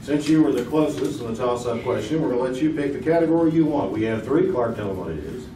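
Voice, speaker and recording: A man's voice: medium pitch, lively intonation, fast talker, warm tone, close to an everyday voice. A man playing a folksy, wisecracking host of a 1950s radio game show on stage in a theater. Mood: genial. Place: live stage performance in a small theater. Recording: roomy, distant theater recording with heavy noise.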